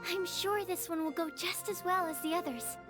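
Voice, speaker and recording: A woman playing a young, polite, studious alchemist in a video game, careful and gently encouraging. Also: extremely high pitch, normal speed, music in the background. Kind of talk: video game character acting.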